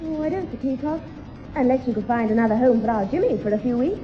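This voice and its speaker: An old, muffled radio sitcom recording of a young Northern English woman played by an actress. Her voice is high and very lively in pitch. She is a kindly big sister with an exasperated edge. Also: fast talker, music in the background, lightly acted. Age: young adult. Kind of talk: 1960s radio sitcom acting. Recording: muffled 1960s radio broadcast.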